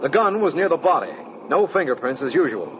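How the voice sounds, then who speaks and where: Wry formal district attorney, old detective radio show, muffled with clear noise behind. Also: male voice, fairly high pitch, big pitch swings, fairly quick. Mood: explanatory. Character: dryly genial male district attorney briefing his friend. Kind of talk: old-time radio detective drama acting. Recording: dull noisy vintage radio recording.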